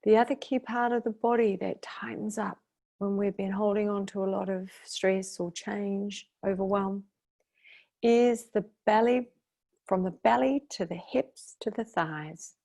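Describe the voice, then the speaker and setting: Slow, creaky-voiced woman guiding meditation. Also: medium pitch, steady tone, warm tone. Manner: soothing, unhurried instructing with long pauses. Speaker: guided meditation teacher. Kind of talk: guided meditation.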